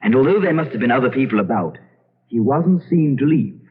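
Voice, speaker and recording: An actor playing an inquisitive, determined gentleman investigating a haunted house, theatrical and excitable, with stammered repetitions, in old-time radio drama style. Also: medium pitch, fairly quick, breathy edge. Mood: brooding, determined. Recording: dull old radio broadcast with loud music.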